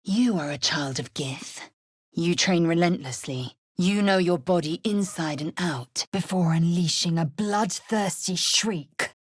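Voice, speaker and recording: Fierce githyanki warrior woman, deep husky voice, unhurried, haughty, martial, imperious, video game character. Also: no echo, clean studio sound. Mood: haughty approval. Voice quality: husky, raspy, breathy edge. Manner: clipped, imperious, deliberate delivery.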